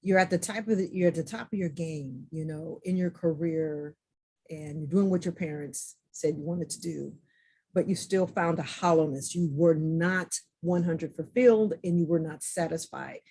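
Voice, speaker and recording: A woman's voice: low-pitched, moderate pace, dark tone, husky. A woman hosting a podcast interview, effusive and enthusiastic, often repeating her phrases. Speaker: podcast host.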